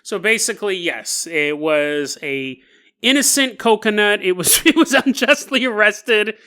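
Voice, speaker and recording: A man hosting a solo podcast, telling stories in a casual, jokey, animated way. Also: high-pitched, a little slow. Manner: animated, jokey, laughs at own asides. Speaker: podcast host. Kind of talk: casual comedic storytelling, solo podcast narration.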